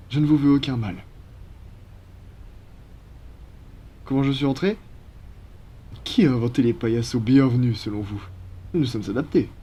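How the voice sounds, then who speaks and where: A man's voice: medium pitch, warm tone, slightly rough. A man voicing a courteous, apologetic vampire in an ASMR roleplay, speaking formally and politely. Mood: gentle, apologetic. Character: courteous, apologetic male vampire from a blood-donation foundation.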